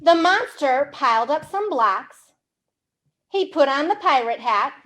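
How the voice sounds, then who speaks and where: Slow, high-voiced woman leading children's storytime. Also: lively intonation, a bit bright. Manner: exaggerated, encouraging, with simple questions to young listeners; slow, simple wording for English learners. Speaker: children's storytime librarian host. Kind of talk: animated read-aloud and teaching for young children.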